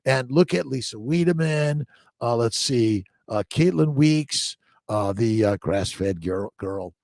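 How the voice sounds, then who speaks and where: American man, gravelly creaky voice, dry close sound, informal motivational host, short repeated exhortations. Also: mid-range voice, expressive, slow pace, darkish tone, no room sound. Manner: exhortative, repeats short phrases, drifts between reading and asides. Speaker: host, fertility doctor. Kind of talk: informal morning livestream, motivational chat with read-aloud passages.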